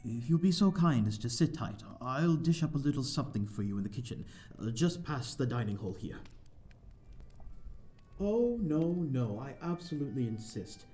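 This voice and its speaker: A man's voice: medium pitch, sing-song, moderate pace, mellow, lightly acted. A man playing a courteous, lonely vampire host in an ASMR audio roleplay, speaking in a formal, flowery, slightly flustered and hesitant way. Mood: eager, hospitable. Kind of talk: ASMR audio roleplay, scripted character acting.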